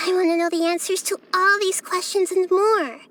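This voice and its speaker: A woman voicing a childlike girl god of wisdom for a video game, gentle, curious and thoughtful, speaking softly and carefully. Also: extremely high pitch, little pitch movement, normal speed, slight background noise. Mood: shy, curious. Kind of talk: scripted video game character voice lines.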